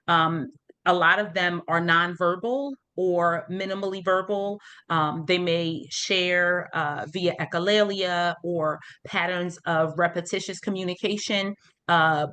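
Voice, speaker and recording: A woman's voice: on the low side, a bit bright. A middle-aged woman from the United States hosting an online support group, explaining informally and often checking in with her listeners. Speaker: parent support group host. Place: online video meeting. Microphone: video call.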